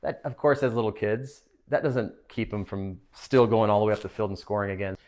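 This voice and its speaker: Low-voiced middle-aged American man. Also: fairly quick, darkish tone. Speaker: assistant soccer coach. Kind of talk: casual interview reflection.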